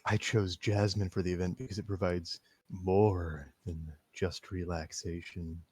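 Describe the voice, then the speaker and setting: A man voicing a shy, refined teenage girl in a comedic staged reading of a visual novel, speaking slowly and in a hush. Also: low voice, level tone, husky, no room sound.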